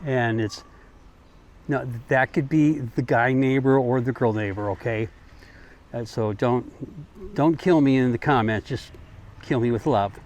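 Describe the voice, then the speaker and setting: A man chatting casually in a rambling, folksy, joking way as a travel vlogger walking along. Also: medium pitch. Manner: folksy, joking, informal mild swearing. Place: outdoors, walking along streets. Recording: outdoor street noise, passing vehicles.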